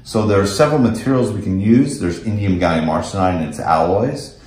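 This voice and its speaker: Low-voiced American man in an echoey room. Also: fairly quick, a little mellow. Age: middle-aged. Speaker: company representative presenting technical products. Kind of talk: technical product demo and explanation. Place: indoors in a small office meeting room.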